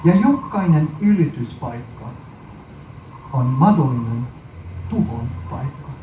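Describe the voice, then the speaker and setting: A man reading his own poem at a live indoor performance, deliberately and in short phrases with frequent pauses. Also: mid-range voice, lively intonation, roomy sound. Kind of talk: poetry recital, performance reading. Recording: muffled, noisy live recording from far off.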